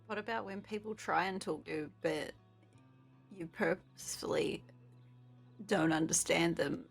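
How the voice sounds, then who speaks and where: A woman's voice: on the low side, measured pace, creaky, faint music behind. A woman chatting in character during a roleplay game, wry and teasing. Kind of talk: casual roleplay chat in character.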